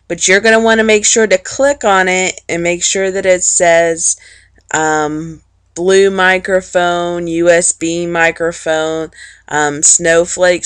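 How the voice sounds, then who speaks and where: A woman's voice: lowish voice, a bit bright, smooth, no room sound. Woman teacher giving a dry-sounding, close-up tutorial. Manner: plain-spoken, informal, explanatory. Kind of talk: instructional screencast narration, informal step-by-step walkthrough.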